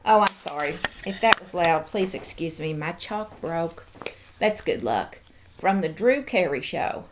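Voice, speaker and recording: Lady doing a slow casual show and tell, kinda cooing and playful, noisy home recording w clicks. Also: lowish voice, lively intonation. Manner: addresses toys and viewers playfully, cooing. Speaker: host of a toy show-and-tell video.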